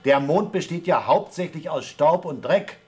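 An actor playing a gruff, sarcastic male astronaut on the moon who swears, in a German radio play. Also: on the high side, normal speed, darkish tone, natural voice. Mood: irritated.

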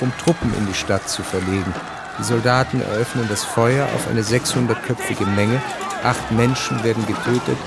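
Matter-of-fact male narrator over music. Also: medium pitch. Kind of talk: scripted literary narration.

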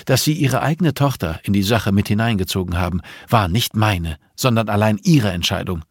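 A man's voice: on the low side, normal speed, slightly rough, no room sound, studio quality. A man voicing a firm, defiant female police investigator in an audiobook, pointed and confrontational. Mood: firm, defensive.

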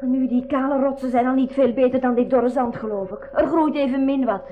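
A woman playing a lively, inquisitive young girl traveller in a 1980s school radio play. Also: high-pitched, a little fast, narrow, tinny audio, faint music behind, natural voice. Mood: doubtful, curious.

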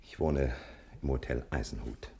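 An actor playing a sly, flattering detective in a German radio play, speaking in a confiding, hesitant way with a breathy, husky voice. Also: male voice, extremely low pitch, normal speed, slight background noise. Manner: hesitant, confiding, with murmured asides. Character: male detective, sly, flattering, secretive conspirator.

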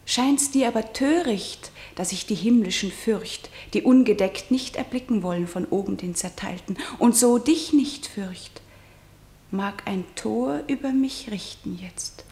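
A woman's voice: mid-range voice, unhurried, mellow, reverberant, close to an everyday voice. A woman playing a defiant, unbending young princess, declaiming with intensity in a verse radio drama.

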